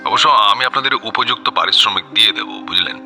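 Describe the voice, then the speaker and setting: An actor playing an anxious, deferential gentleman from a well-to-do family, polite, pleading and hesitant, in a radio drama. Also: on the low side, a little fast, soft background music.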